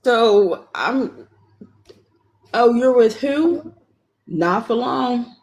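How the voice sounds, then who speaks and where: A woman, calling in on her phone to a live stream and telling her own story frankly, in quick bursts, laughing at herself. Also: a little high, expressive, a little mellow. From Atlanta, Georgia, US. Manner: frank, self-deprecating, talks in quick bursts. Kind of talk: candid casual storytelling.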